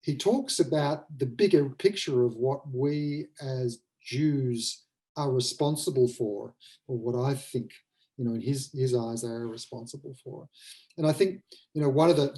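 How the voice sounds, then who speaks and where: A man with a soft-edged, mellow voice speaks as a writer on a webinar. He is reflective and conversational. He often halts and repeats a phrase while he works out what he means. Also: mid-range voice. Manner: thoughtful, halting, repeats phrases as they form. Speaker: playwright adapting a novel for the stage.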